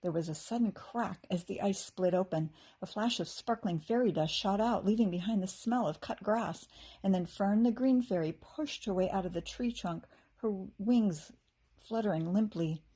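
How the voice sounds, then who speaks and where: An American woman, a teacher, reads a children's book aloud in an animated, expressive way, acting out the dialogue. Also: medium pitch, normal speed, breathy edge. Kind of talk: expressive storybook narration.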